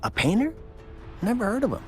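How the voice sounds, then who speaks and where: A woman's voice: medium pitch, sing-song, a little fast, faint music behind, close to an everyday voice. An actress playing a gossipy, sceptical townswoman in a video game, casual and opinionated. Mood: sceptical, curious. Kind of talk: video game bystander chatter.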